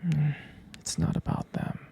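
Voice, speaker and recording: A man playing a jealous, protective, devoted boyfriend in an intimate ASMR roleplay, speaking tenderly and hesitantly in a breathy, hushed voice close to the microphone. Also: unhurried, lightly acted. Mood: possessive, pleading. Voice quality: breathy, husky whisper with gravelly fry.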